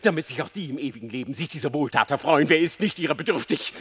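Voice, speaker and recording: An actor playing a sly, scheming male fox in a radio play, flattering and sweet-talking. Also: on the high side, fast, breathy edge. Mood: wheedling.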